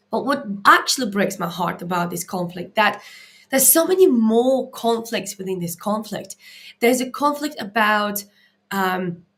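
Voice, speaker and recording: A woman with an Eastern European accent speaks at a medium pitch in an unscripted live talk. She is earnest and emphatic, like a coach answering viewers' questions. She punctuates her points with short check-in words. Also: a bit bright. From Latvia. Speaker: mindset coach and live Q&A host.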